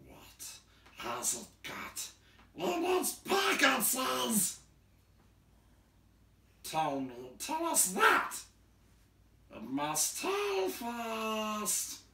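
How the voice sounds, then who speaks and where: A man voicing a wretched, possessive cave creature from a storybook, shrill and hissing, with agitated, drawn-out wails. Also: huge pitch swings, gravelly, bright, forceful tone, exaggerated voice. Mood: suspicious, demanding. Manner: wailing, drawn-out, agitated repetition. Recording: echoey room, distant from mic.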